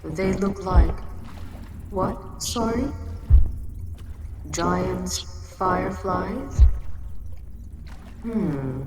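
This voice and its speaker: Audio drama role, woman performer: giant kaiju queen, female, ancient and composed; deep register, very slow measured delivery, big echoing cave sound. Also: lively intonation, over music, full character voice. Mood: patient, matter-of-fact. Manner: measured, matter-of-fact, slightly formal speech. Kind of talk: scripted roleplay audio drama monologue. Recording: very echoey cavern-like reverb, loud background noise.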